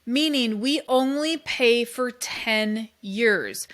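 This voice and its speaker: A woman's voice: on the high side, slow, a bit bright. A middle-aged woman hosting her own podcast, explaining insurance in a casual, emphatic way and quoting clients along the way. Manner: emphatic, conversational, quotes clients in asides. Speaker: podcast host and insurance advisor. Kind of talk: casual solo podcast talk.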